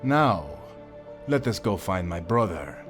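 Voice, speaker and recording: Slow, low, mocking villain played by a man. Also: very animated, music in the background, exaggerated voice. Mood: smug menace. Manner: taunting, drawn-out, sardonic. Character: menacing, mocking villain, cruel brother of a prince. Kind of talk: audio drama villain acting.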